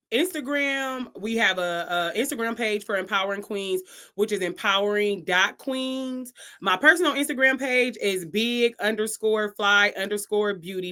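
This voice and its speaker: A woman's voice: medium pitch, bright, forceful tone. A woman in her thirties, a podcast guest, talking casually and emphatically, often repeating phrases for emphasis. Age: 30s. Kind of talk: casual interview chat.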